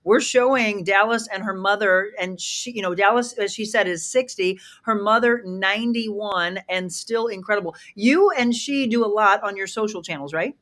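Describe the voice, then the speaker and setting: Lively American woman hosting morning TV. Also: medium pitch, normal speed, hardly any pauses. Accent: American Midwest. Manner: lively, emphatic, reads ads smoothly. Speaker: TV talk show host. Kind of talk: morning talk show hosting.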